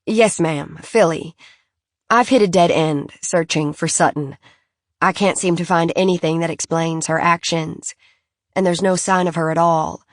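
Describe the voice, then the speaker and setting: A woman's voice: on the low side, fairly even intonation, measured pace, no echo, studio quality. A woman playing a polite female investigator. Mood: probing. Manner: polite, probing.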